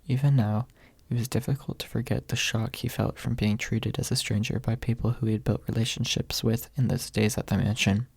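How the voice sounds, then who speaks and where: A man reading a story softly as scripted ASMR narration, in a hushed, measured way with a husky, breathy voice. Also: on the low side, steady tone, no echo. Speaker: audiobook reader.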